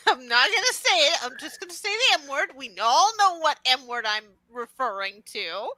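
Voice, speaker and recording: A woman's voice: sits high, big pitch swings, moderate pace, sharp tone, low-quality recording. A woman, a guest in a casual group chat, giggly and teasing. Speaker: podcast guest.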